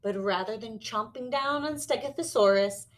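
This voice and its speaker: A woman reading a children's story aloud expressively and pausing to explain words like a teacher. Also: a little high, background noise. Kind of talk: animated children's read-aloud with word lessons.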